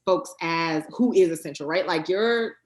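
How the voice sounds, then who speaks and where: A woman guest in a casual interview, talking with lively energy, many fillers and quick restarts. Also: fairly low pitch, roomy sound. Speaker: guest, card deck creator.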